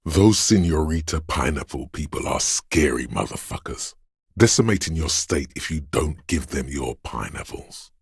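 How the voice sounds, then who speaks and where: A paranoid voice of primal fear inside the head, extremely low and heavily rasping. It narrates a dark video game in the second person, slowly and ominously, as if every detail were a threat. The sound is close and dry. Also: male voice, expressive, dry recording, full character voice. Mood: wary, paranoid. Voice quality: very deep, gravelly, husky. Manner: ominous, slow, deliberate delivery. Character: menacing, paranoid inner voice of primal fear and aggression.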